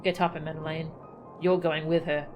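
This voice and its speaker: A woman's voice: low-pitched, level tone, moderate pace, highs cut off, lightly acted. A woman voicing a stern young nobleman in a video game, curt and commanding. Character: stern young nobleman giving firm orders. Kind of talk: reading game dialogue aloud. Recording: game music behind the voice.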